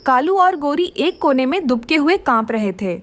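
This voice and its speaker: A woman narrates a scripted moral tale for children. Her voice is high in pitch, in the manner of a cartoon storyteller. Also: animated, normal speed, no echo.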